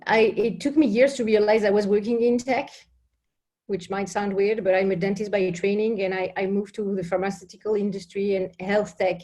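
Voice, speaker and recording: A woman from France with a French accent introducing herself on a video call, friendly and a little halting. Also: medium pitch, a little mellow. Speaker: course participant.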